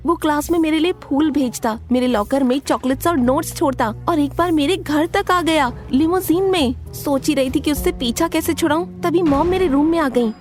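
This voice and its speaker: Animated story lead: high-voiced, guarded teen schoolgirl played by a woman, dramatic first-person narration, light music underneath. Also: normal speed, few pauses, close to an everyday voice. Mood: narrating, confiding. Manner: expressive, dramatic. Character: guarded, feisty teenage schoolgirl narrating her own story.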